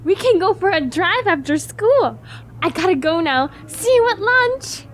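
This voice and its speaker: A woman's voice: extremely high pitch, sing-song, a little slow, a little hiss or hum, full character voice. A woman acting a bubbly, materialistic teenage girl in an audio drama, gushing over her words. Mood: excited, gushing.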